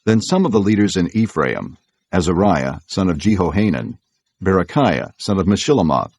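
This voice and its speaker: A man with a deep, husky voice narrates scripture as solemn, measured storytelling. His intonation is richly varied. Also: expressive, a little mellow, no echo.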